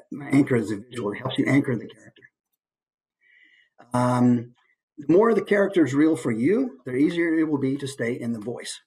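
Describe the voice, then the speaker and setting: A man speaking as a voice actor giving an informal instructional presentation, animated and demonstrating points with his voice. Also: medium pitch.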